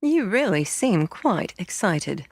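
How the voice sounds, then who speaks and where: A woman voicing a cool, teasing village girl who speaks in a slow, superior drawl. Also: lowish voice, big pitch swings, breathy edge, dry recording, studio quality, heavily acted. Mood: aloof.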